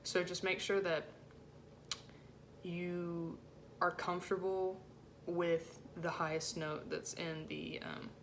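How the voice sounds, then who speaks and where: A woman with a low-set voice giving an informal music rehearsal lesson as a choir section leader, in a casual, encouraging way with hesitant phrasing. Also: level tone, takes it slowly, a little hiss or hum. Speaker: choir section leader teaching alto parts.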